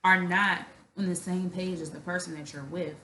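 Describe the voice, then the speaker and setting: A woman's voice: fairly low pitch, fairly even intonation, moderate pace, pushed and bright. A woman co-hosting a podcast in casual conversation, affirming and often repeating phrases for emphasis. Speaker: podcast co-host. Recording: echoey room with some background noise.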